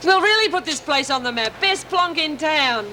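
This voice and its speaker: A woman's voice: high voice, expressive, normal speed, pushed and bright, a little hiss or hum. An actress playing a cheeky, boisterous female prison inmate.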